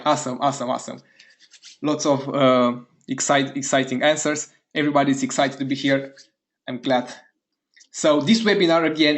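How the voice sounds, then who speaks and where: An eager man from Romania hosts a live online webinar, pitched squarely in the middle for a man. He speaks straight to his chat audience, upbeat and keen, and fires off quick repeated words of praise. He sounds like he is talking into a computer mic on a web call. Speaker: webinar host.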